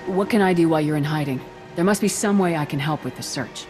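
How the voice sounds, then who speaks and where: Game squad leader played by a woman: lowish female voice, firm, confident, loud score behind. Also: fast, music in the background, natural voice. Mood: concerned, determined. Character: resolute, principled female soldier squad leader. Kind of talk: cinematic video game dialogue.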